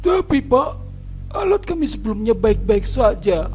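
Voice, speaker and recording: A man's voice: very high-pitched, sing-song, normal speed, a little mellow, soft background music, voice pushed up. A man voicing a frightened young logging worker in a horror cartoon, stammering and panicky. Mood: anxious.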